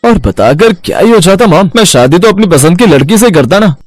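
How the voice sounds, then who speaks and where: A man's voice: sits high, big pitch swings, a little fast, no echo. A man voicing a family man in a children's story with dramatic delivery. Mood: defiant.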